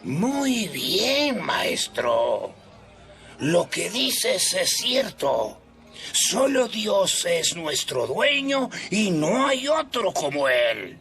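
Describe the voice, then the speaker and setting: An earnest, emphatic teacher of religious law, acted by a man in an audio Bible drama. The voice is slow and gravelly, with lively swings in pitch. He sounds deliberate throughout. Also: faint music behind, exaggerated voice. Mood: approving, solemn.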